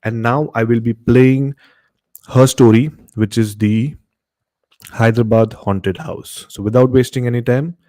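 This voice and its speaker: A man's voice: medium pitch, steady tone, a little slow, warm tone. A man with an Indian accent casually hosting a horror story show, speaking to listeners with repetitive, emphatic phrasing.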